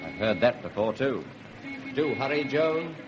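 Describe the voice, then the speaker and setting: An actor playing an eager, put-upon police constable in a radio drama, deferential but given to grumbling. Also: male voice, medium pitch, big pitch swings, unhurried, crowd noise. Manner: deferential to superiors, grumbling aside. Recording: dull, noisy old radio recording.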